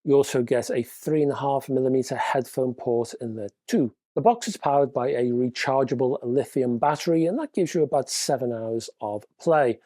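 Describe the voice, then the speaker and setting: A man's voice: mid-range voice, normal speed, hardly any pauses, dark tone, dry recording, clean studio sound. Man hosting a hi-fi news roundup. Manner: casual, chatty, with asides. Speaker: host.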